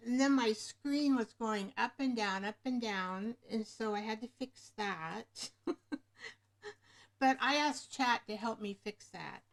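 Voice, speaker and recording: Slow-talking woman hosting a craft livestream. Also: a little high. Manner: rambling, searches for words. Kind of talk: casual livestream chat. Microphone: webcam microphone above the screen.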